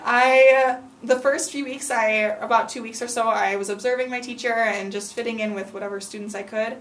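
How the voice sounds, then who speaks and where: A woman's voice: on the high side, fairly even intonation, a little fast, echoey room, far from the mic, slight background noise, rough recording. A young woman in her twenties, a student teacher, answering interview questions earnestly with frequent ums. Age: 20s.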